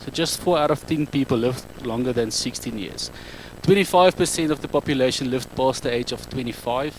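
A man in his thirties speaking English with an Afrikaans accent, giving a public talk on a handheld mic in a halting, self-correcting way. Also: medium pitch, slight background noise, poor audio. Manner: halting, self-correcting non-native English with frequent ums. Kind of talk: public talk to a live audience.

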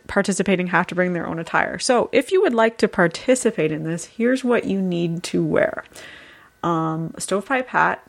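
A woman co-hosts a casual history podcast with a somewhat low, gravelly voice. She sounds sniffly and congested, chatting easily and laughing often. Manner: chatty, laughing easily, reading news aloud. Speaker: podcast co-host. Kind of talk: casual chat.

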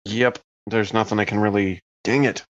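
A slightly dull, dry recording of a man with a lowish voice. He talks casually as a player improvising his way through a tabletop roleplaying game. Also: fairly even intonation, normal speed.

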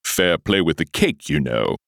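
A man's voice: very deep voice, lively intonation, normal speed, gravelly, fairly bright tone, no room sound, clean studio sound, full character voice. A man voicing a big, weary, lordly lion in a storybook, with a commanding, emphatic delivery.

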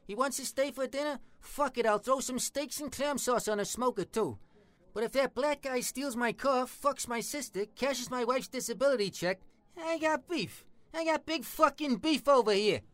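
A brash Italian-American hard man in a comedy sketch, with a very high-pitched voice and a New York accent. He is profane, slangy and menacing, recorded close and dry. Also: moderate pace, fairly bright tone, no echo. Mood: blustering. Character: foul-mouthed, bigoted Italian-American tough guy, brash and menacing. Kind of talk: comic dialogue acting.

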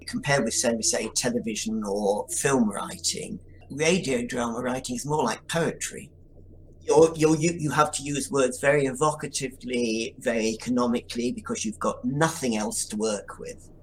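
A man's voice: mid-range voice, moderate pace, slight background noise, poor audio. Thoughtful man, writer on a podcast. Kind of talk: podcast interview answer.

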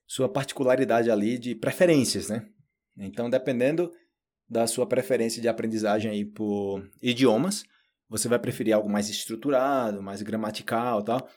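A Brazilian man with a Brazilian Portuguese accent, giving a relaxed, chatty podcast lesson and addressing learners directly. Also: medium pitch. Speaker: Portuguese teacher. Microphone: solo audio recording.

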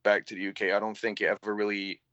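A young man with a mix of English and Canadian accent, a band vocalist chatting as an interview guest in a halting way, full of fillers and casual swearing, on slightly dull lo-fi audio. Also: fairly low pitch, level tone, bright, forceful tone, dry recording.

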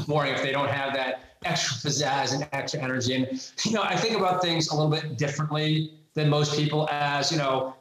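A man's voice: mid-range voice, fairly bright tone. Man, 40s, faint New York accent, chatty guest, laughs easily, very echoey room. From New York, USA. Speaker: guest entrepreneur. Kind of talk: conversational interview answers.

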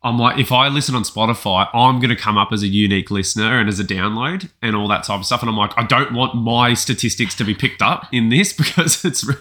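A young man with a deep, bassy voice, co-hosting a podcast in casual chat with lots of restarts. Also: quick pace, few pauses, bright, forceful tone. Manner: rambling, many restarts and fillers. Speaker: podcast co-host.

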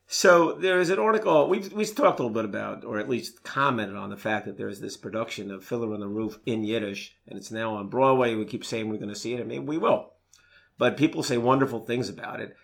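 A man with a gravelly, fry-tinged voice at an ordinary pitch, chatting casually as a co-host. He tells stories and gets lively and emphatic as they build. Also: fairly quick. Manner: storytelling, animated when excited. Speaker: podcast co-host. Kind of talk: casual chat.

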